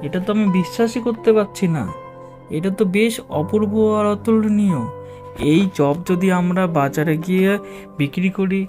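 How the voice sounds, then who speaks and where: Man acting a plaintive, high-voiced village farmer. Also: moderate pace, darkish tone, lightly acted. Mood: delighted. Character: poor village chilli farmer, worried, plaintive husband. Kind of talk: dramatised cartoon story dialogue. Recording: music behind the voice.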